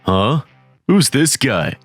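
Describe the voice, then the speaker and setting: A man's voice: mid-range voice, big pitch swings, takes it slowly, no echo, faint music behind. Man playing a sneering street thug. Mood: taunting. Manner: mocking, taunting. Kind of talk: manga voice-over acting.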